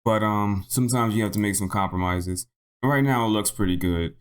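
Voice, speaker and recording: Male tutorial host, lowish and a little hoarse, informal walkthrough delivery that thinks out loud. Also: fairly quick. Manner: thinks aloud, repeats himself. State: slightly hoarse. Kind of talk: casual unscripted software tutorial narration.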